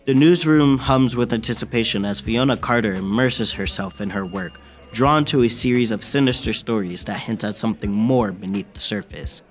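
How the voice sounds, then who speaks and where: A man's voice: on the low side, normal speed. A young man giving a dramatic scripted narration for an amateur radio mystery. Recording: music under the voice.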